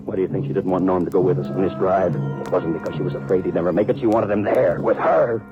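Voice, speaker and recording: Male actor as wronged, vengeful trail hand, low and intense with rustic grammar, dull 1950s broadcast sound. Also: fairly even intonation, quick pace, lightly acted. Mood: bitter, desperate. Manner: intense, rustic ungrammatical speech. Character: bitter, wronged older brother, brooding vengeful cowhand. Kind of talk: 1950s radio western acting. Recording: dull old radio recording, music behind.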